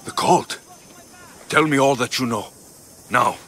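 An actor playing a curt mercenary warrior. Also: male voice, mid-range voice, measured pace, a bit bright, slight background noise, close to an everyday voice. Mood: demanding. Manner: curt, demanding. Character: blunt male mercenary warrior. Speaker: game character. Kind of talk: video game dialogue.

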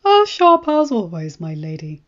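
A woman's voice: mid-range voice, huge pitch swings, a little slow, highs cut off. A woman voicing a shrewd male royal spymaster who speaks formally, in a knowing, indulgent manner. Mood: smooth, knowing.

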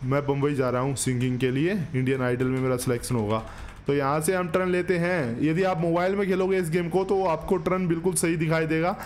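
A man's voice: fairly high pitch, fairly even intonation, brisk, darkish tone, a little hiss or hum. A man, giving casual, chatty gameplay commentary in a joking way, close on a desk microphone. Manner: chatty, joking, addresses viewers as bro. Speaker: gaming video host. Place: small indoor studio setup. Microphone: desk microphone up close, with headset.

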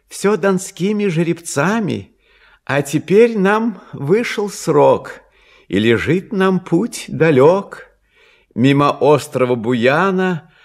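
A man's voice: fairly high pitch, pitched above the performer's own voice. A man voicing well-travelled merchant sailors, declaiming a proud report in rhythmic verse.

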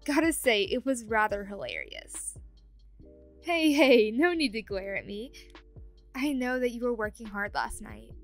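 A woman's voice: fairly high pitch, animated, measured pace, faint music behind. A woman voicing a playful, teasing young ghost roommate in an audio roleplay, chatty and meddling. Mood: teasing, friendly. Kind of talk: ASMR audio roleplay acting.

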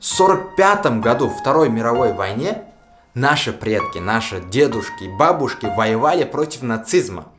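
A young man gives casual to-camera commentary from a small indoor room, speaking earnestly and appealing straight to his audience. Music plays clearly under his voice. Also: mid-range voice, very animated, normal speed. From Russia. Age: young adult. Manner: earnest, appealing directly to viewers. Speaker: video blogger.

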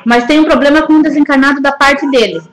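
A woman giving a calm, earnest card reading, recorded on a webcam in a small room. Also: high voice, fast, roomy sound, low-quality recording. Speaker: card reader. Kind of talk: spiritual card reading advice.

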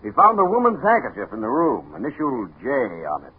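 A man's voice: medium pitch, wildly swooping pitch, brisk, husky, heavily acted. A man playing a tough, sardonic police captain in a 1950s radio drama. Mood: sardonic, weary. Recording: tinny 1950 radio recording.

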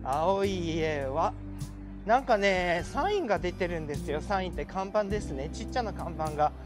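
A middle-aged man with a high voice narrates a city walk, polite and enthusiastic as he points things out. He speaks outdoors into a handheld camera. Background music runs clearly under his voice. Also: expressive, normal speed. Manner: chatty, polite, enthusiastic guide. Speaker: vlogger. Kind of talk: casual walking tour narration. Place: outdoors on city streets and in a park. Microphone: handheld wide-angle camera.

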